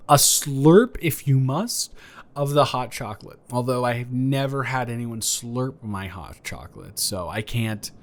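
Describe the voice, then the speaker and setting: A man's voice: mid-range voice, close to an everyday voice. A man improvising a romantic audio roleplay as a flirty, playfully boastful neighbor, talking straight to the listener in a teasing, stammering, rambling way.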